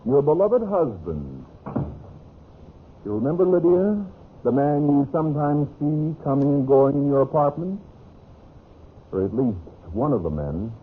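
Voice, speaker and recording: A deep-voiced, sly radio-drama schemer. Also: male voice, very animated. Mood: bitterly sarcastic. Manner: falsely friendly, insinuating, deliberate emphasis. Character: smooth, sly, scheming husband and business partner. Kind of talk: 1950s radio drama acting. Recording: dull, noisy old radio recording.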